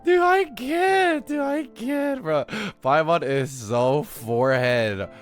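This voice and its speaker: Animated young man streaming a game. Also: a little high, slow, faint music behind. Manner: slangy, expressive, exclamatory. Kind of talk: casual live stream commentary.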